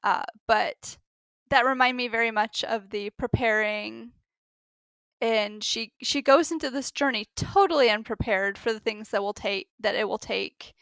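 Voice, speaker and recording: A woman on a book podcast panel, chatting casually and haltingly as she thinks aloud. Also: a little high, vocal fry, fairly bright tone, dry recording.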